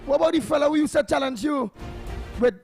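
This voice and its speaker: A Trinidadian man hosting a radio talk show with a hoarse voice, upbeat and chatty with listeners. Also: very high-pitched, quick pace, darkish tone, faint music behind. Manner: upbeat, chatty, reads phone numbers. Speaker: radio host. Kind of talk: casual radio chat. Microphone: studio mic.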